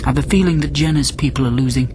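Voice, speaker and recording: An actor playing a lonely immortal prisoner on a space station, narrating reflectively in a measured radio drama monologue. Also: male voice, medium pitch, a little hiss or hum, lightly acted. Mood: reflective, haunted. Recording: loud music bed under the voice.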